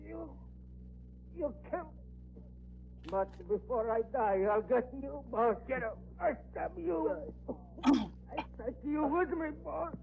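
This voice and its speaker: A man's voice: very high voice, animated, slow, vocal fry, long pauses, exaggerated voice. An actor playing a frightened, gullible gangster henchman in melodramatic 1940s radio drama style. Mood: dying, vengeful. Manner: melodramatic, agitated. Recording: tinny, noisy old radio recording.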